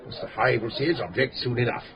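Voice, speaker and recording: An actor playing a pompous, long-winded English school headmaster in a radio drama, speaking in formal, pedantic, hesitant phrases. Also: male voice, mid-range voice, fast talker, muffled recording, noisy background, natural voice. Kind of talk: British radio drama acting. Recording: radio play with busy pub chatter.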